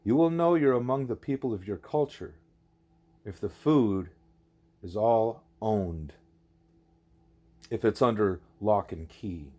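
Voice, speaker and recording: A man voicing a wise, patient gorilla teacher in an audiobook, deliberate and didactic, leaning on key words. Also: on the low side, darkish tone. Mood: patient, instructive.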